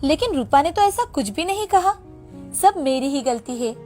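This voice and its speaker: Storybook daughter, played by a woman: young bride, high voice, dramatic and pleading, light music behind. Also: lively intonation, normal speed, warm tone. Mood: shocked, remorseful. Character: vain, emotional young bride-to-be daughter, later remorseful.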